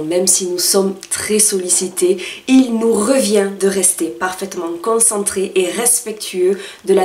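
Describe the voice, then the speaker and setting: Woman reading scripted advice, roomy and distant. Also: mid-range voice. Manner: formal, carefully worded, addresses listeners directly. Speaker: host of a career advice radio column. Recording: roomy echo, distant from microphone.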